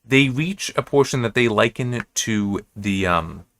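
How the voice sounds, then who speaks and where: Bright-voiced American man, rambling casually. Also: medium pitch, expressive, no echo. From United States. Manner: halting, self-correcting, restarts and digresses often. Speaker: reviewer hosting an episode-by-episode analysis. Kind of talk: rambling, casual, unscripted commentary.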